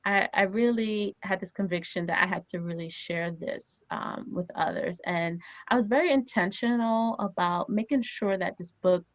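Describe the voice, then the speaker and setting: A woman's voice: medium pitch, moderate pace, few pauses, no room sound, lo-fi sound. A woman in her thirties whose first language is Haitian Creole, a family therapist telling stories as an interview guest with lots of "you know" fillers. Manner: animated storyteller, many "you know" fillers.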